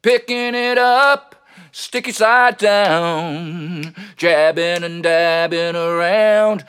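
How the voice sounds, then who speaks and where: A man's voice: sits high, drawn-out words, a bit bright, clear, resonant tone. A man performing comic spoken-word poetry in a playful, whimsical way, with rhythmic, rhyming delivery. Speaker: poet and comedian. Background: plucked guitar music.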